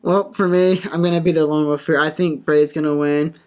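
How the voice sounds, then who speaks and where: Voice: medium pitch, steady tone, a little fast. Podcast panelist, middle-range voice, casual and informal, talking through speculative predictions. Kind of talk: casual wrestling prediction talk.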